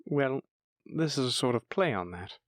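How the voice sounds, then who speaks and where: A man's voice: medium pitch, animated, normal speed, no echo, studio quality, natural voice. A man playing a bashful, bookish dragon lover in a romantic fantasy audio roleplay, stammering tenderly as he speaks to his sweetheart. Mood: shy, confessional.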